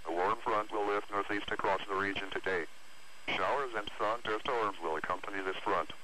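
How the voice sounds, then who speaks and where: A man's voice: lowish voice, fairly even intonation, normal speed, pushed and bright. A synthetic man's voice reading scripted weather alerts as an automated announcer over the radio. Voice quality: synthetic text-to-speech voice. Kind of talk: scripted hazardous weather bulletin. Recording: noisy weather radio broadcast recording.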